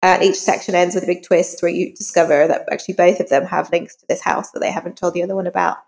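A woman's voice: fairly low pitch, little pitch movement, fast talker, vocal fry, darkish tone, big-room sound. A woman talking conversationally as a guest author in an interview.

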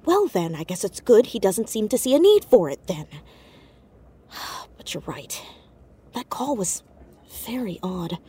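A very husky, breathy teenage girl in a naturalistic audio drama. She is stubborn and sardonic with a nervous edge, and her pitch moves around a lot. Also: big pitch swings, a little slow, warm tone, exaggerated voice. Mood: defensive.

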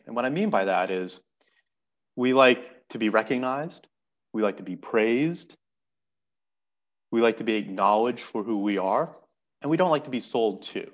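A man's voice: medium pitch, muffled recording. A man speaking as a podcast guest, explaining earnestly and often restarting his words. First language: English. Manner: earnest, explanatory, repeats words while thinking. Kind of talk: casual podcast conversation.

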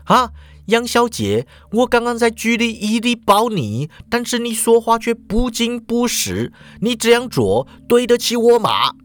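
A man's voice: high-pitched, sing-song, a little slow, no room sound, voice pushed up. A man voicing a blunt male secret agent who scolds and lectures sternly. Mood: accusing.

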